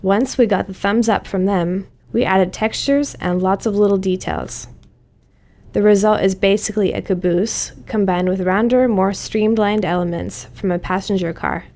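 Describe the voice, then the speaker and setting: A woman's voice: medium pitch, steady tone, moderate pace, darkish tone. A woman reading scripted commentary as a game developer.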